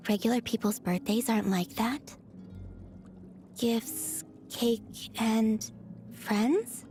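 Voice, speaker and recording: A woman voicing a serene, otherworldly young moon maiden from a video game, soft and breathy, with a gentle, naive curiosity. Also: a little high, lightly acted. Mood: innocently curious. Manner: slow, dreamy, innocently curious. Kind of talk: video game character voice lines.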